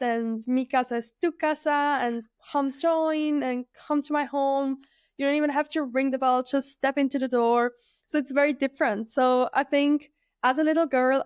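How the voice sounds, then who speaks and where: A woman in her early thirties from Switzerland, a freediver guest speaking in a relaxed, thoughtful way with many fillers. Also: high-pitched, no room sound, studio quality. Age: 30s. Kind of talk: casual conversational interview.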